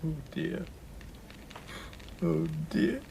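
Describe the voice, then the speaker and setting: Fretful, guilt-ridden gentle faun. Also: male voice, on the high side, fairly even intonation, creaky, background noise, full character voice. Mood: tearful remorse. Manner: halting, self-reproachful, theatrical. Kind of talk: dramatised radio theatre storybook acting.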